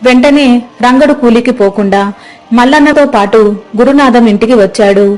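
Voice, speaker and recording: A woman's voice: a little high, moderate pace, a little mellow, low-quality recording. A woman narrating a scripted moral story as its storyteller.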